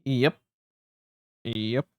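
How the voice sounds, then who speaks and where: Guy chatting on stream, slow n halting, repeats himself, close dry mic. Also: medium pitch, fairly even intonation, no echo. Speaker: live streamer and host. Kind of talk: casual livestream chat with viewers. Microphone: close streaming microphone.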